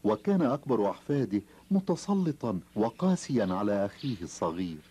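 A man's voice: lowish voice, very animated, fairly quick, warm tone, breathy edge. A man narrating a scripted children's story as its narrator.

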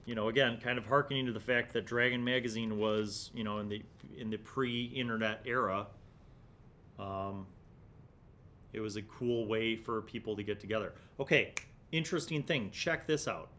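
Middle-aged man, rumbly background noise. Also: moderate pace, sharp tone. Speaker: host of a retro tabletop gaming review series. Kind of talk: casual commentary while leafing through a magazine, reading bits aloud. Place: small indoor home studio. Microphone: desk condenser microphone in front of him.

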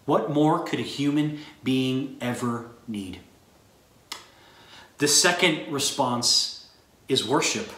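Slow, earnest middle-aged man preaching. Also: mid-range voice, a bit bright, reverberant. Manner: earnest, measured preaching delivery. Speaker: pastor. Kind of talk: recorded sermon to graduates. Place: small room with a bookshelf, indoors.

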